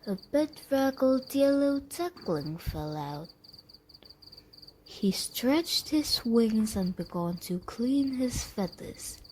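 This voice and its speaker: A woman narrates a children's bedtime tale with a mellow tone and a very lively, swooping delivery. She takes her time and speaks straight to the listener. A faint noise sits behind her. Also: on the high side, poor audio. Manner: dramatic, deliberate, addresses the listener. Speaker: storyteller.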